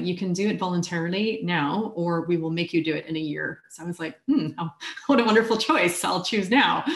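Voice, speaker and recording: Wry woman on a roomy video call. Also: fairly low pitch. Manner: animated, wry, laughs lightly between points. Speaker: keynote speaker. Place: home room.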